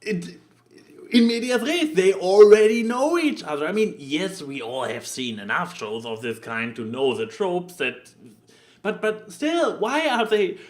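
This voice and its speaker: A man chatting casually as a podcast co-host, giving an exasperated, animated review and acting out quotes as he goes. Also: high-pitched, measured pace.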